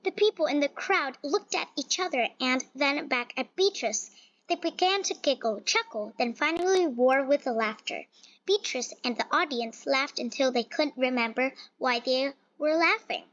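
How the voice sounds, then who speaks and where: A woman's voice: high-pitched, expressive, moderate pace, fairly bright tone. A woman reading a children's picture book aloud, expressively but with small stumbles and repeats. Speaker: storybook reader. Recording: dull, lo-fi sound.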